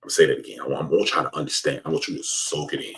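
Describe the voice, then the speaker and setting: A man's voice: rumbling low, fairly even intonation, racing, fairly bright tone, breathy edge. An American man giving an informal, emphatic opinion monologue to his viewers, preaching his point and repeating phrases for stress. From United States. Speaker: host of an online commentary show.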